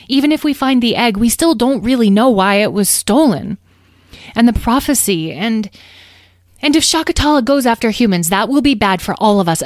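A calm, grown she-dragon guardian from a storybook, voiced by a woman. The speech is measured and reasoned, slightly raised in pitch, with a dry close sound. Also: no echo, lightly acted.

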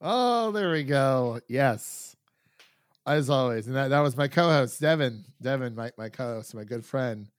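A man's voice: medium pitch, lively intonation, unhurried, dry recording. A man hosting a film review podcast, chatting in an informal, enthusiastic, rambling way. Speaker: podcast host. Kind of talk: casual film review chat.

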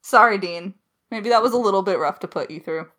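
A woman's voice: mid-range voice, a little fast, a bit bright. A woman chatting casually as a podcast co-host, giggly, effusive and slangy. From Alberta, Canada. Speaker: podcast co-host, audio drama creator. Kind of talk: casual chat, answering listener questions.

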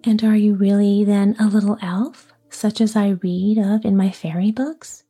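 A woman voicing a sad, sweet little girl in a soft whisper. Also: mid-range voice, steady tone, measured pace, breathy edge, soft background music. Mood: wistful.

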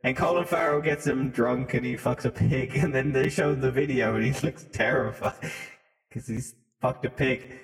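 A man's voice: medium pitch, moderate pace, faint music behind. Sweary, teasing man in a reverberant space. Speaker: podcast co-host. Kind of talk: casual banter, crude joking. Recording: echoey reverberant room sound.

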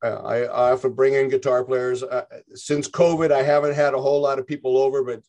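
A man's voice: medium pitch, level tone, fairly quick, a little mellow. An older man in a casual interview, chatting in a rambling way with frequent restarts. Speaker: interview guest, musician.

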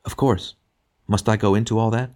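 A man's voice: lowish voice, normal speed, voice pushed up, lightly acted. Man playing a serene, patient redeemed spirit. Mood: frank, kindly. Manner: calm, patient, gently urging. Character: serene, joyful male redeemed murderer spirit, younger, gently frank. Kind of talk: literary audiobook character dialogue.

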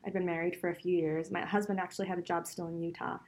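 A woman's voice: on the low side, level tone, quick pace, darkish tone, low-quality recording. A middle-aged woman who grew up between Venezuela and the US, a law professor answering interview questions in a chatty, reflective way, with frequent laughs.

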